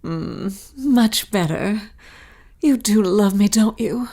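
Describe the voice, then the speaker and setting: An actress playing a scheming governess in an audio melodrama, cooing and prim with a veneer of sweetness. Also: female voice, medium pitch, expressive, takes it slowly, mellow, a little hiss or hum. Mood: impatient, demanding. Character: scheming governess feigning sweetness toward her charges. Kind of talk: melodramatic audio drama acting.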